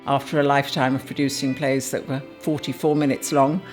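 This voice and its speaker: A woman's voice: a bass voice, flat delivery, fairly quick, a little mellow, faint music behind. An older woman, a radio drama producer, reminiscing in an anecdotal interview style.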